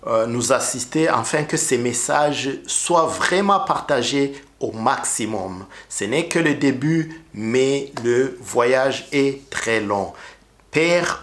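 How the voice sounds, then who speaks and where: A middle-aged man from Rwanda whose first language is Kinyarwanda, preaching and praying in a slow, earnest way with many pauses and restarts. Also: mid-range voice, fairly bright tone. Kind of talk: sermon and testimony with prayer.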